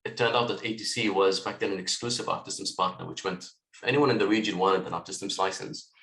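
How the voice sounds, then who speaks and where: A man in his late twenties from Lebanon, raised in Kuwait, talking as an interview guest over a remote call in a relaxed, thoughtful way with frequent fillers. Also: sits low, fast talker, reverberant, low-quality recording. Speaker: guest, software tech lead. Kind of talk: interview conversation.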